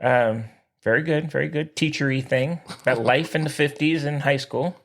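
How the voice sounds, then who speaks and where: A man hosting a podcast, bantering casually in an excitable, animated way. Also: on the high side, normal speed, gravelly. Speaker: podcast host.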